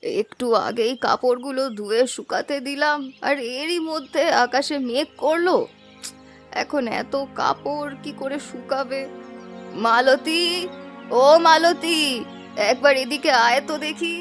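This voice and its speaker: A woman's voice: sits high, unhurried, faint music behind. A woman voicing a caring older mistress of the house in a cartoon, motherly and fussing. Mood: fretful. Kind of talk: animated cartoon story dialogue.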